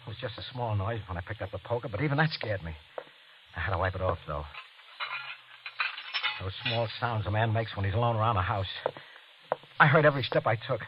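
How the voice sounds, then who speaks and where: A man's voice: fairly low pitch, very noisy, lightly acted. An actor playing a guilty, panicky man on the run after a killing, narrating in the first person in old radio drama style, tense and prone to stammering. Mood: haunted, brooding. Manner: tense, hurried, stammering when questioned. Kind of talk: 1940s-50s radio acting, first-person thriller narration. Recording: dull old radio broadcast with music.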